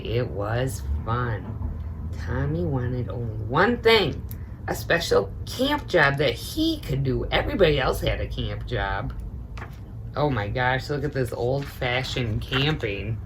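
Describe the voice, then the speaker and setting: A middle-aged woman reading a story aloud to children in an expressive, motherly way, with chatty asides. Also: very deep voice, slight background noise, low-quality recording.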